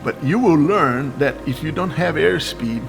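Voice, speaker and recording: A man's voice: on the high side, lively intonation, a little slow, creaky, music in the background, low-quality recording. A man from Brazil with a Brazilian accent, an aerospace professor and pilot explaining things casually.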